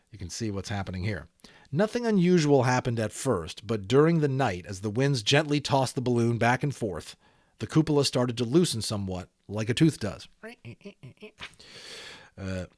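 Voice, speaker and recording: A man reading a children's story aloud in an expressive, theatrical manner, speaking directly to listeners. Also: medium pitch, no room sound, clean studio sound. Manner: theatrical, engaging listeners with questions. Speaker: audiobook reader.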